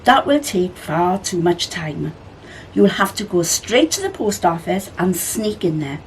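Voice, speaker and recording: A woman voicing a bossy, brisk female animal character in a children's storybook, speaking emphatically. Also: fairly low pitch, very animated, a little hiss or hum, low-quality recording.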